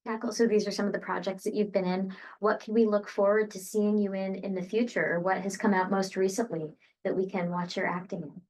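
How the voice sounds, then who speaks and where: A woman hosting a podcast, asking the guest questions politely and briskly. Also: medium pitch, flat delivery, darkish tone, poor audio. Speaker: podcast host. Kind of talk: interview questions.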